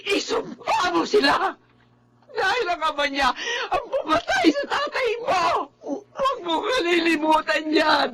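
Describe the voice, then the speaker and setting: A woman's voice: very high voice, very animated, a little slow, raised voice, crowd noise, exaggerated voice. A woman playing a bitter, vengeful middle-aged widow in a radio drama, scolding harshly and tearfully. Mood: grieving rage. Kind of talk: melodramatic radio drama acting.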